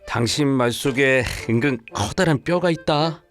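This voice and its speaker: A man's voice: medium pitch, normal speed, lightly acted. Man acting a weary, dutiful husband. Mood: uneasy, reproachful. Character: dutiful, weary husband, devoted son to his mother. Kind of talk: dramatized story video acting. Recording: music behind the voice.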